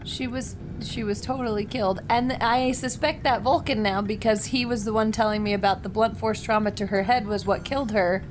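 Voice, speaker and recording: A woman playing a tabletop game, chatting casually in a playful, expressive way. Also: medium pitch, fairly quick, hardly any pauses, noisy background. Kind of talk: casual chat.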